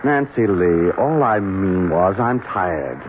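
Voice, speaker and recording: An actor playing a domineering, nervous son in a 1970s radio drama, stammering one moment and curt the next. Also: male voice, low voice, lively intonation, takes it slowly, slight background noise. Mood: tense. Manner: stammering when caught off guard, curt and commanding otherwise. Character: domineering Southern son, nervous, drinking and cruel. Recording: narrow-band old radio broadcast.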